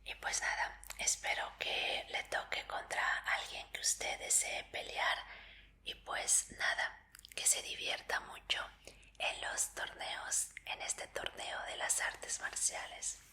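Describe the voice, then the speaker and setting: Slow, bright whispering woman. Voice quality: breathy whisper. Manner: polite, gentle, reassuring, formal address. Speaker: ASMR roleplayer as tournament medical examiner. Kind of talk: whispered ASMR roleplay.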